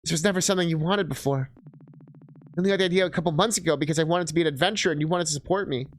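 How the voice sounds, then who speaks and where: A man voicing a protective, anxious young woman adventurer in a fantasy story, earnest, gentle and hesitant with a loved one. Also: a little high, racing, natural voice. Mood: frustrated.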